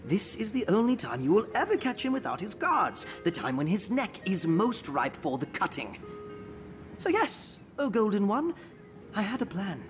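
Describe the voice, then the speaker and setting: An actor playing a sardonic, smug assassin in a fantasy video game, with florid, theatrical, mocking phrasing. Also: male voice, high voice, huge pitch swings, moderate pace. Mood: boastful, biting. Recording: loud music behind the voice.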